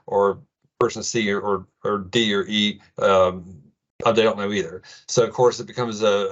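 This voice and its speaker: A middle-aged man with an American accent giving an informal tech presentation in a casual, halting way full of ums. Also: medium pitch, highs cut off. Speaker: presenter, IT administrator.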